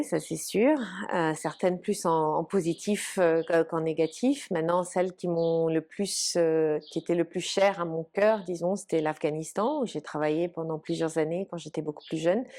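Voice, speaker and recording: Low, gravelly woman speaking hesitantly. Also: warm tone. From Lebanese, raised in Canada. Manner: hesitant, many "euh" fillers and restarts. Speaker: humanitarian official, interview guest. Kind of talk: reflective interview answers.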